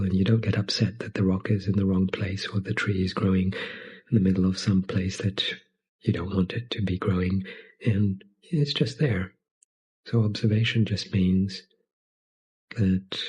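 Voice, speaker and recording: A man leading a guided meditation in a soft, soothing, breathy voice, speaking gently in short phrases with long pauses between them. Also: low voice, poor audio.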